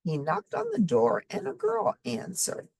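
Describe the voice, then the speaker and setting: A woman reading a children's story expressively, with simple, deliberate phrasing for young listeners. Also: fairly high pitch, wildly swooping pitch, vocal fry, darkish tone, breathy edge, no room sound. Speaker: storybook reader.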